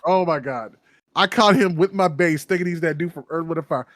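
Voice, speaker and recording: A man's voice: a little high, fairly quick, a bit bright, no room sound, clean studio sound. A man co-hosting a podcast, rambling through stories with lots of fillers and swearing. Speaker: podcast co-host. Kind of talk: casual chat.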